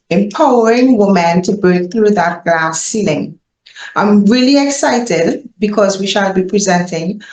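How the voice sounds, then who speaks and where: A woman's voice: medium pitch, darkish tone, echoey room, rough recording. A woman host giving an enthusiastic, formal scripted introduction. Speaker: host of the foundation series.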